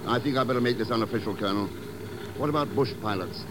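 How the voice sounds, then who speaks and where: A cool, understated secret agent played by a man in a 1950s radio drama. His voice sits in the middle range and his delivery is measured and probing. Light noise runs through the old recording. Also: medium pitch, lightly acted. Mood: businesslike.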